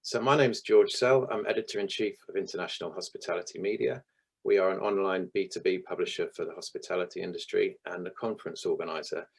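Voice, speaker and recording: Croaky deep-voiced man hosting a webinar. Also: steady tone, fast talker. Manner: hesitant, with frequent ums. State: hoarse, losing voice. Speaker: webinar host. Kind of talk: hosting a video-call panel. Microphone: video call.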